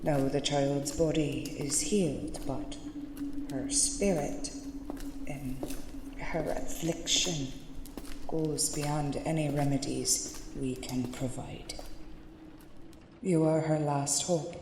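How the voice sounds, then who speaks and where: A woman playing a calm, devout convent nun in a horror audio drama, speaking in a measured, formal way with grave insistence and dry wit. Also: low voice, noisy background. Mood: grave, ominous.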